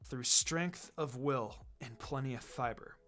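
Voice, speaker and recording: A man's voice: medium pitch, a little slow, a bit bright, soft background music, voice pushed down, natural voice. A man voicing a tired, wry male ninja teacher in a story reading. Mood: weary, wry.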